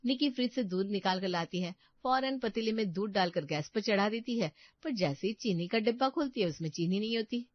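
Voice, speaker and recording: A woman's voice: mid-range voice, animated, fairly quick, few pauses, no echo, lo-fi sound. Woman narrating a story, dry sound.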